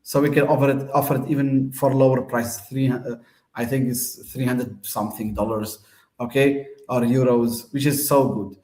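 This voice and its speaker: A man's voice: medium pitch, fairly even intonation, moderate pace, mellow, roomy sound. A man from Tunisia, host of the show, giving a casual, hesitant explanation full of fillers and restarts.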